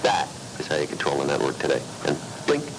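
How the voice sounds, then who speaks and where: Very deep testimonial ad voice. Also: male voice, big pitch swings, fast, noisy background. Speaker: radio ad spokesman. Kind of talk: scripted first-person testimonial commercial.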